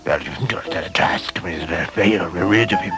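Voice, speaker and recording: A man's voice: sits low, unhurried. A man playing a wary, grovelling royal general in a fairy-tale cartoon. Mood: conspiratorial. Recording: loud music behind the voice.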